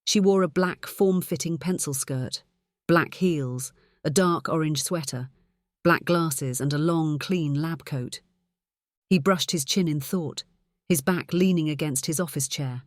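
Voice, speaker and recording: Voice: mid-range voice, unhurried, dry recording, clean studio sound. A narrator reading scripted fiction for an audiobook.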